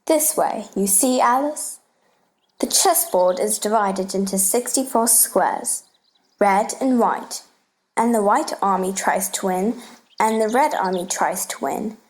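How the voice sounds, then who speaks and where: A woman's voice: mid-range voice, slow, lightly acted, low-quality recording. Woman reading as a patient, playful scholarly uncle. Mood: patient, explaining. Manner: patient, explanatory, teasing. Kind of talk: storybook play reading.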